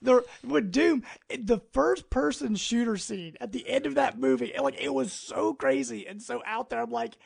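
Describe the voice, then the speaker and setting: A man's voice: very high voice, moderate pace, vocal fry, hardly any pauses, breathy edge. A man hosting a casual podcast chat in an enthusiastic, rambling, informal way. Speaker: podcast host.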